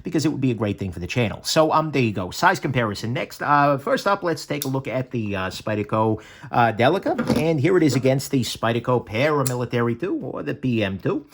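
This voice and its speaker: Chatty man with a lively, swinging pitch. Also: fairly quick, slight background noise. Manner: chatty and self-correcting, frequent ums and stammered restarts; lively, swinging pitch between upbeat and low asides. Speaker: knife reviewer, channel host. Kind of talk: casual product review to camera.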